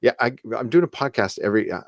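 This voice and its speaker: A man's voice: medium pitch, expressive, fast, creaky, no room sound. A man hosting a live podcast in casual chat, rambling and joking, with some swearing. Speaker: podcast host.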